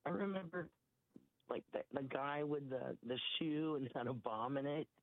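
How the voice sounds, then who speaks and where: A woman calling in to a radio talk show, her voice husky after a cold, talking in halting starts and restarts. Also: very deep voice. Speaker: caller. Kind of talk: call-in radio chat. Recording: tinny phone line.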